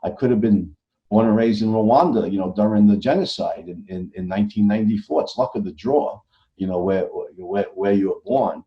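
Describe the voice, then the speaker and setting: A man's voice: fairly low pitch, brisk. An American man speaking as an interview guest, emphatic, often stammering and repeating words as he goes. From United States. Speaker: author guest on a podcast. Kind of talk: conversational interview. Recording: dull lo-fi audio.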